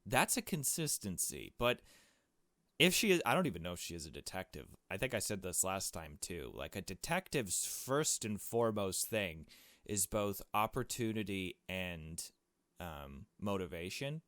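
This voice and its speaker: Low-voiced man doing casual game commentary. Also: very animated, normal speed, fairly bright tone, no room sound, studio quality. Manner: thinks aloud, jokey asides, slangy. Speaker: gaming video host. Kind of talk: let's play commentary, reading dialogue aloud with casual asides.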